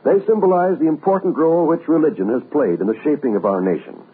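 A man's voice: a little high, quick pace, slightly rough. An American man reading a formal, solemn scripted message as a 1940s radio announcer. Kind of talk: 1940s scripted public-service radio narration. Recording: muffled old radio broadcast.

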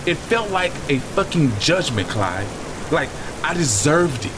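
An actor playing a bitter, grieving brother who talks in a raw, anguished way. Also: male voice, on the high side, big pitch swings, measured pace. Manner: raw, anguished, shifts from resentful to shouting. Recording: noisy storm backdrop.

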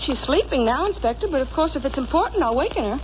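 Helpful, inquisitive nurse, high-pitched, vintage radio drama style, dull old recording. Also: female voice, animated, quick pace, slight background noise. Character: polite, helpful, inquisitive young hospital nurse. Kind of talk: 1940s radio drama acting.

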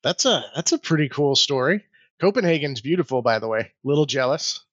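A man's voice: medium pitch, expressive, brisk, fairly bright tone, no room sound, highs cut off. A man hosting a podcast, chatting informally and easily as he asks questions. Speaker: podcast host. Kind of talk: casual interview chat.

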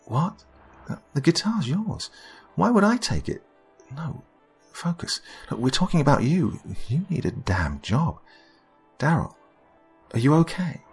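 Man voicing an exasperated young woman, raised pitch. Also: big pitch swings, unhurried, vocal fry, darkish tone, husky, faint music behind, heavily acted. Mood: confused, exasperated. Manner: blunt, pleading, swearing. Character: angry, caring, exasperated young woman on the phone.

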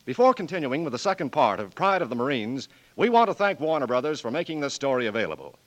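A man's voice: mid-range voice, sing-song, fast, poor audio. Polished 1940s radio announcer, man. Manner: polished, smooth salesman delivery.

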